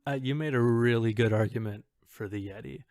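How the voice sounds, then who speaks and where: A man co-hosting a podcast in casual chat, halting and tentative as he thinks aloud. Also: mid-range voice, a little mellow, dry recording, clean studio sound. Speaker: podcast co-host.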